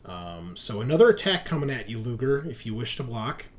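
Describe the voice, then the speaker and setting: A man's voice: mid-range voice, sing-song, a little fast, crowd noise. A man acting as game master, explaining rules and narrating a tabletop roleplaying game in a conversational, explanatory way.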